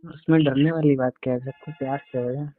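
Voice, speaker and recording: A man's voice: mid-range voice, steady tone, fast. A man chatting casually and intimately on a phone call, in a coaxing, teasing manner. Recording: tinny, band-limited phone call recording.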